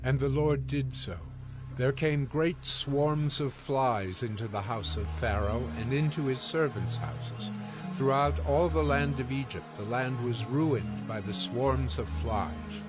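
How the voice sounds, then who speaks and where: A man's voice: medium pitch, takes it slowly. Male scripture narrator over music. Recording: music bed behind the voice.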